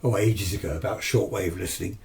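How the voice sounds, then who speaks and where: A man's voice: deep voice, fairly quick, gravelly, a little mellow. A British man giving a casual, unscripted hobby talk as an amateur radio enthusiast, chatty, rambling and matter-of-fact. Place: home radio shack, indoors. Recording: distant microphone, noisy room ambience.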